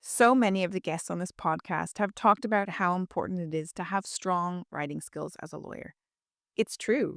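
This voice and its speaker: A woman lawyer and educator giving a polished, measured scripted ad read. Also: on the low side, creaky, dry recording, studio quality.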